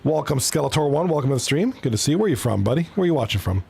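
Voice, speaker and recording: A man's voice: a little high, very animated, very fast, gravelly, darkish tone, slightly rough. A man from Toronto giving casual live commentary on an esports hockey league, chatting with his viewers between plays. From Canada (Toronto).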